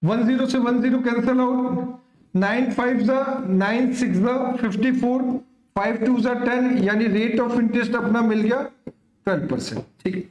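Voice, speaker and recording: A man's voice: very high voice, a little slow, a little mellow, highs cut off. A man teaches maths in a reverberant room. He explains step by step like a coaching teacher, repeats himself for emphasis and often asks his students, with affectionate address, whether they follow. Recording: echoey room.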